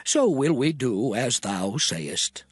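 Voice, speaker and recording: A man voicing a slow, contrite nobleman. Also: medium pitch, big pitch swings, breathy edge, dry recording, clean studio sound, exaggerated voice. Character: chastened noble agreeing to restore debts. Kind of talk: dramatized scripture reading.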